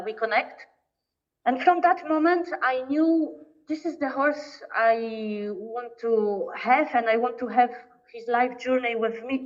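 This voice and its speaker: Polish lady guest, kinda highish voice, sounds far off and muffled, stops a lot to find words. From Poland. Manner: halting, searching for words. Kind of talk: casual interview talk. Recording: distant, dull lo-fi remote audio.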